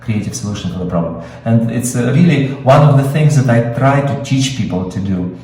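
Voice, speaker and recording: A man's voice: mid-range voice, animated, brisk, warm tone, very echoey, distant mic, low-quality recording. A man with a Russian accent, Russian his first language, teaching screenwriting in an improvised webinar, explaining with many fillers and restarts. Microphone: video call.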